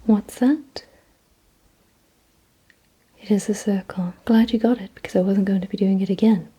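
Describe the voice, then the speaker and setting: A woman whispering in a breathy, hushed ASMR roleplay style, giving deliberate instructions directly to the listener. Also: mid-range voice, steady tone, rough recording. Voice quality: breathy, mostly unvoiced whisper. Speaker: ASMR performer.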